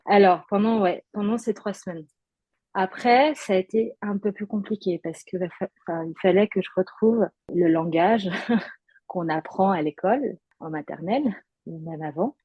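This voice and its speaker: A woman's voice: medium pitch, moderate pace, husky, no echo, highs cut off. A woman guest giving a personal testimony in conversation, hesitant, with many fillers and restarts.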